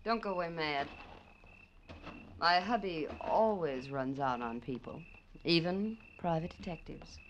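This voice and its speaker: An American woman acting a sly, sharp-tongued, flirtatious wife in a vintage radio crime drama. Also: sits low, animated, a little slow, dull audio. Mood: sly, mocking. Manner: sardonic, teasing. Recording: hissy vintage radio recording.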